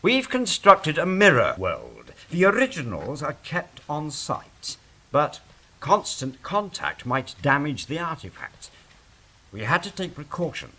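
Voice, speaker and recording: A man playing an anxious, defensive angel who guards Eden in an audio drama, fretful and deliberate. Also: on the high side, wildly swooping pitch, sharp tone, full character voice, low-quality recording. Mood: guarded. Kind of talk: stage-style audio drama acting.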